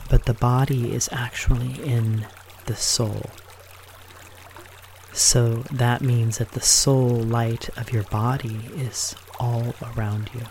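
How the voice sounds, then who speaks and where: Slow, gentle man guiding meditation. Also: medium pitch, slight background noise. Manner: gentle, unhurried, pausing between short phrases. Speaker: meditation guide. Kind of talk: guided meditation.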